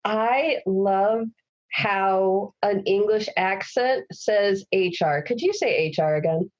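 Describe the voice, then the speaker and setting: A woman hosting a podcast interview, chatting casually and gushing with excitement. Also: mid-range voice, slow, muffled recording. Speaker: podcast host.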